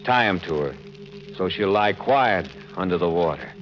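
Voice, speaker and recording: An actor playing a desperate, guilt-haunted murderer in an old radio horror drama, narrating in halting, melodramatic phrases. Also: male voice, low-pitched, lively intonation, faint music behind. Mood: grim, haunted. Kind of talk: 1940s radio horror acting, first-person narration. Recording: noisy, dull old-time radio recording.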